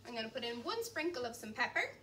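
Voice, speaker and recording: A woman's voice: on the high side, big pitch swings, quick pace. A young woman in her twenties giving a chatty, encouraging cooking demonstration while she chops and mixes in a large kitchen. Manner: chatty and encouraging, talks while chopping and mixing. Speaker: cooking instructor. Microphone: camera at a distance. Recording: distant mic, faint background noise.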